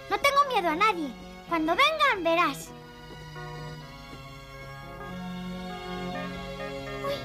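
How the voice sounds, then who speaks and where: A woman voicing an earnest, anxious young child in a dubbed cartoon, with a high childlike voice. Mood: admiring, playful. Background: music.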